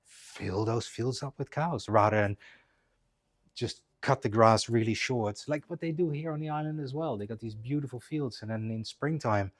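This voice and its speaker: A man's voice: mid-range voice, moderate pace. A man of about fifty giving a loose, chatty presentation, with many restarts as he talks. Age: 50s. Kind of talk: casual live presentation. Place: clinic presentation room.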